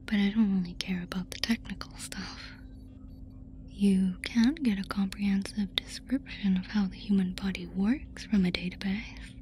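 A woman playing a casual, eager, playful female alien in an ASMR roleplay, speaking in an informal, breathy whisper. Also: medium pitch, steady tone, normal speed, a little mellow, slight background noise, close to an everyday voice. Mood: eager, curious. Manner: informal, reassuring.

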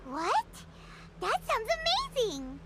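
A woman voicing a tiny, excitable, cheeky childlike fairy companion in a video game, with a squeaky voice. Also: slow, heavy background noise, heavily acted. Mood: amazed, cheeky. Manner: speaks of self in third person, exclaims. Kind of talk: video game character acting.

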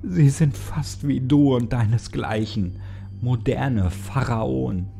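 A man's voice: mid-range voice, takes it slowly, gravelly, dark tone, faint music behind, pitched above the performer's own voice. A man voicing a despairing, drugged Egyptian man in a horror story, bitter, defiant and impassioned. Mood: angry, bitter. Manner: impassioned, raised, bitter delivery.

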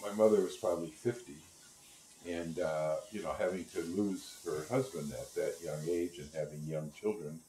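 A man's voice: low voice, normal speed, dark tone, roomy sound, slight background noise, low-quality recording. An older man conducting an oral history interview, speaking reflectively, seated in a living room. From Netherlands and United States.

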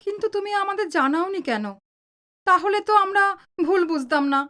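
A woman's voice: very high voice, fairly quick, a bit bright. A woman playing an emotional, remorseful middle-aged wife in an audio drama. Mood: upset, remorseful.

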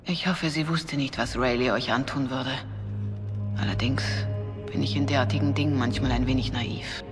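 An actress playing a calm, devout, quietly shrewd woman cleric, speaking formally and with measure in a dubbed video game. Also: a bass voice, normal speed. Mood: grave, discreet. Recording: long hall reverb. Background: music.

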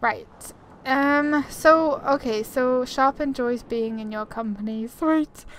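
Higher-voiced woman chatting over gameplay. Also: slow, slight background noise, low-quality recording. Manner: informal, chatty, thinking aloud. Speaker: gaming video creator. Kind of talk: casual gameplay commentary.

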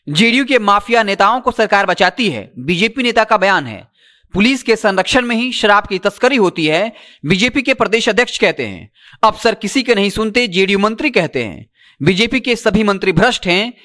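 A man. His voice sits high for a man and has a bright, forceful edge. He speaks emphatically and insistently, straight to the audience on camera. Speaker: news presenter. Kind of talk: news commentary, reporting to camera. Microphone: handheld microphone.

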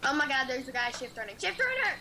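A woman's voice: high-pitched, big pitch swings, fast talker, roomy sound. A woman playing a game with friends, playful and sing-song. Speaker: gaming video co-player. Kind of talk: casual gameplay chatter. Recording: loud background noise.

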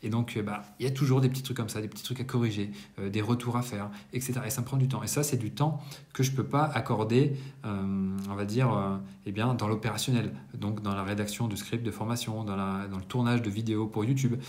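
A man in his thirties giving a casual monthly business debrief to camera in a bright room, talking conversationally with frequent hesitations and self-corrections. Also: on the low side, fairly even intonation, fast. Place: indoors, bright apartment room.

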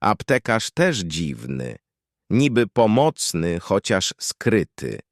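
A man reading a scripted dark horror tale as narrator, in clipped, deliberate, poetic phrases. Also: low voice, sing-song, gravelly.

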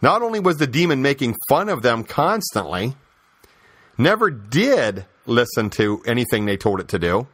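A man with a medium-pitched voice hosts a podcast, recorded close and dry with little room sound. He delivers opinionated religious commentary as conversational storytelling. He leans on repetition and rhetorical questions to press his points emphatically. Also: very animated, normal speed, a bit bright, husky, dry recording. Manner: emphatic, repeating phrases for stress. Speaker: podcast host.

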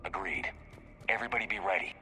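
An actor playing a tough, steady human commander in a video game, firm and matter-of-fact with dry humour. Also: male voice, deep voice, fast, faint music behind. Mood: alert. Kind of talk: video game character acting.

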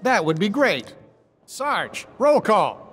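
A man playing a friendly cowboy doll who leads the other toys, reassuring and take-charge, in an animated game. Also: high-pitched, takes it slowly, slightly rough, full character voice. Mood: decisive.

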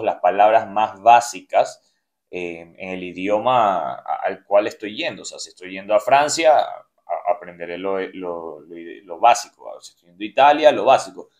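A man's voice: lowish voice, animated, unhurried, a little mellow. A man in his thirties hosting a cruise news show, reading headlines and then commenting earnestly with many fillers and restarts, in a small indoor room. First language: Spanish. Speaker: cruise news host and travel agent. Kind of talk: news roundup with personal commentary. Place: small indoor room, plain beige wall.

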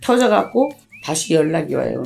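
A middle-aged woman, a shaman fortune teller, hoarse from a cold, explaining things slowly and plainly in an interview. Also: sits low, very animated, a little mellow, soft background music. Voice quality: husky, gravelly. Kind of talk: interview answers.

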